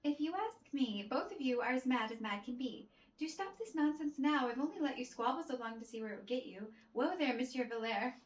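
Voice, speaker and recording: A woman voicing a sassy, sharp-tongued lady's maid who scolds theatrically, as in a storybook play. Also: high voice, lively intonation, fairly quick, hardly any pauses. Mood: scolding. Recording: roomy echo with music behind.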